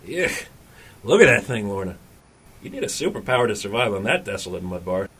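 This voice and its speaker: A man's voice: lowish voice, big pitch swings, a little fast, a bit bright, husky, exaggerated voice. A man playing a blunt superhero teammate in a fan audio drama. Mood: disdainful.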